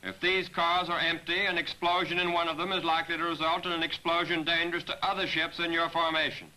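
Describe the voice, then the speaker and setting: A man narrating a scripted military training film as a briefing, in a measured, directive manner, on a 1940s film soundtrack. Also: on the high side, flat delivery, pushed and bright, rough recording. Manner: measured, directive, addressing aircrews. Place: voice-over recording for a 1943 film.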